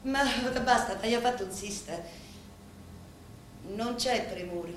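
An actress playing a deferential, devoted Sicilian woman who serves a local boss, speaking a reverent, deliberate stage monologue with a Sicilian accent. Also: fairly high pitch, close to an everyday voice. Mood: solemn, devoted. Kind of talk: theatrical dramatic monologue in dialect. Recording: very echoey room, faint background noise.

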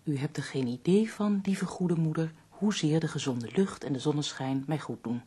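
An actress playing a polite woman who takes down a letter in a radio play, with a gentle, very husky and breathy voice. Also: low-pitched, moderate pace. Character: polite woman visitor taking a letter by dictation.

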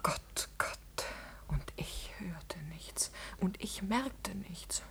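A woman's voice: mid-range voice, expressive, very slow, bright, forceful tone, whispered, heavily acted. An actress playing a passionate, proud and agitated noblewoman in a classical German radio drama, with theatrical, intense delivery. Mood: shaken. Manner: theatrical, intense, breathy outbursts.

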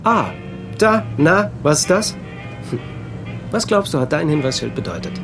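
An actor playing an irritable, defensive husband at the wheel in a naturalistic radio drama, muttering and needling. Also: male voice, fairly high pitch, big pitch swings, normal speed, music in the background. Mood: irritated, defensive.

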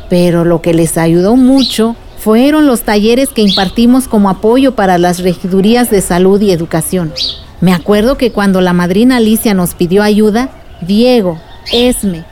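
Radio serial aunt played by a woman: wise, patient, mid-pitched, explanatory, faint background noise. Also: moderate pace, dark tone, close to an everyday voice. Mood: patient, earnest. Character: patient, wise village aunt recalling women's struggles. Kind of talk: radio drama acting.

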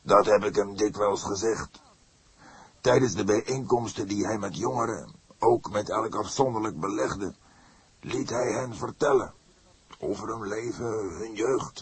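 A man's voice: fairly low pitch, measured pace, crowd noise, voice pushed up. A man voicing an indignant, solemn father of the church in an audiobook, speaking with emphatic, formal deliberation. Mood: grave, disapproving. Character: fierce, pained elderly pastor, indignant and solemn. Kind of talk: audiobook character voice.

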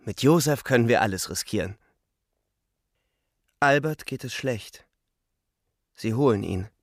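An actor in a radio drama narrating as a disillusioned twenty-year-old soldier, grave and reflective, in short weighted phrases. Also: male voice, mid-range voice, animated, a little slow, no echo, clean studio sound. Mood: bleak.

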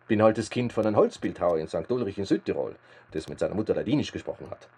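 A man in a radio play acting a humble, reflective mountain guide turned actor from South Tyrol, husky and mellow. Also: lowish voice, animated, fast talker.

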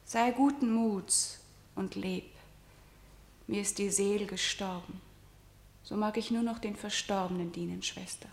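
An actress playing a defiant, grieving young princess facing death, in intense declaimed verse for radio. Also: female voice, mid-range voice, a little slow, long pauses, lightly acted. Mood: resigned grief. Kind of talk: stylised verse radio drama acting. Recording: echoey, reverberant room.

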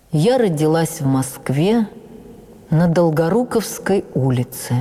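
A woman playing an older actress who recalls her life in a heartfelt, measured radio memoir reading with deliberate pauses. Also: lowish voice, warm tone. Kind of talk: dramatic first-person memoir reading.

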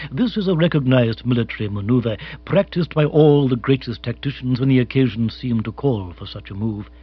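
A man voicing an imperturbable, deferential English manservant in a comic storybook, formal, measured, precise and deadpan. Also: mid-range voice, husky, muffled recording.

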